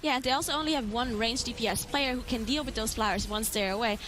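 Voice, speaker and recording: A woman's voice: on the high side, fast, a bit bright, a little hiss or hum. A woman giving live, analytical esports commentary, explaining tactics as play unfolds.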